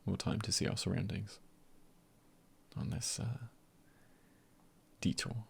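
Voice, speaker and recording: A man playing an easygoing, comforting male friend in an intimate ASMR roleplay, husky and gentle, with unhurried pauses and light teasing. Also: fairly even intonation, full character voice. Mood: calm, soothing. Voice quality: husky, breathy, gravelly low voice.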